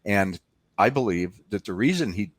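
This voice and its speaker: A man's voice: lowish voice, lively intonation, moderate pace, husky, no echo. A man speaking as an interview guest, explaining things informally in a conversational way.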